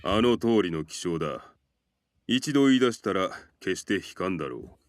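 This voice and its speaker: A man's voice: sits low, sing-song. A man voicing a serious adult male character in a Japanese visual novel, firm and matter-of-fact. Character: serious adult man giving frank advice.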